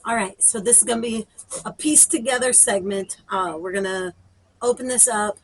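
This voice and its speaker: A woman's voice: medium pitch, normal speed, dry recording. A woman chatting casually and thinking aloud as she demonstrates for a garden vlog. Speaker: garden vlogger.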